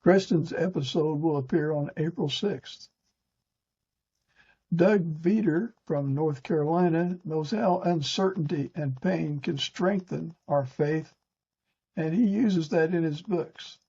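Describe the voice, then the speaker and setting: A male podcast host with a fairly high-pitched, husky voice. He reads prepared introductions in a mellow tone, and the audio is slightly dull. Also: slow. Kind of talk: scripted podcast narration with interview interjections.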